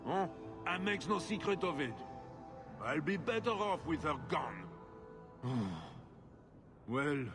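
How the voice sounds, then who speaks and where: An actor playing a bitter, self-pitying middle-aged husband, petulant and scornful. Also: male voice, fairly high pitch, creaky, in a large hall.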